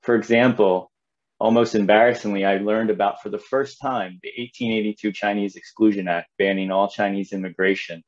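A man's voice: fairly low pitch, fairly even intonation, a little fast, muffled recording. A man in his thirties from southern New Jersey, giving earnest prepared remarks as a nonprofit leader on a video call. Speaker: nonprofit CEO, panelist. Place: home room on a video call.

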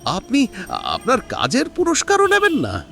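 A man's voice: extremely high pitch, huge pitch swings, a little slow, vocal fry, a bit bright, faint music behind, full character voice. An actor playing a wealthy, generous businessman with an emphatic, effusive manner. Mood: grateful, admiring.

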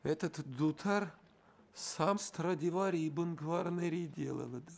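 A man's voice: on the high side, unhurried, gravelly. A man playing an emotional Eastern trader in a cartoon film, effusive and haggling. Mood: mournful, coaxing. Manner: effusive, haggling, tag questions. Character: emotional male Eastern trader with camel and dutar. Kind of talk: animated film acting.

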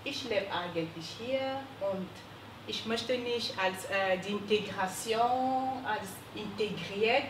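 A woman from Cameroon, speaking calmly and deliberately on a panel. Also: medium pitch. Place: seminar room with a panel. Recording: distant mic in an echoey noisy room.

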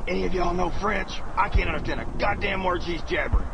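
A gruff army officer. He speaks tersely and with folksy authority, irritable but controlled, over loud battle ambience in a war game. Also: sits high, quick pace. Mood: gruff, commanding. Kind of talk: low-key war game dialogue. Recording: noisy night outdoor battle ambience.

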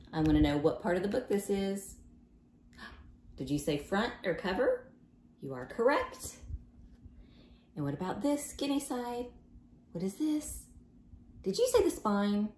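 A woman in her forties reading aloud to young children in an animated, questioning, teacherly way. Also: fairly low pitch, echoey room, a little hiss or hum. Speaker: children's storybook reader. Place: small home room, indoors.

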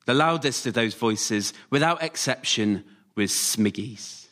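Male storyteller in measured short phrases. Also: medium pitch, animated, fairly bright tone. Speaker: writer-performer, storyteller. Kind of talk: scripted autobiographical storytelling, performed live. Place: radio theatre stage, before a live audience.

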